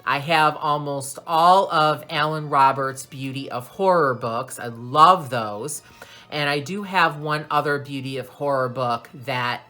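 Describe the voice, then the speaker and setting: A woman hosting a craft channel, chatting casually and rambling a little, slightly hoarse and clearing her throat now and then. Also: deep voice, sharp tone. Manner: chatty, rambling, slow and deliberate. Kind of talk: casual vlog talk.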